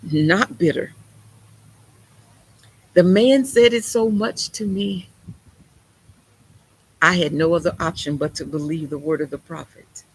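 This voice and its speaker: A woman delivers a sermon with a husky, breathy edge to her voice. She preaches in an emphatic, conversational way, with folksy turns of phrase. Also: fairly low pitch, unhurried, long pauses, poor audio.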